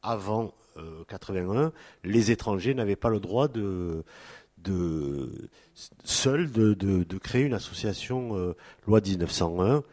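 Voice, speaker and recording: Man in his fifties, panel speaker, lowish voice, halting delivery with lots of filler, long hall echo. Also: lively intonation, darkish tone. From France. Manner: halting, many fillers and restarts. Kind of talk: hesitant spoken talk at a public panel.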